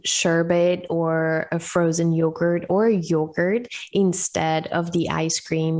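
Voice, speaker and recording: Upbeat woman with a Swiss-Colombian accent. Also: fairly low pitch. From Switzerland. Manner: enthusiastic, explanatory, upbeat asides. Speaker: guest nutrition expert. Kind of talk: casual podcast interview.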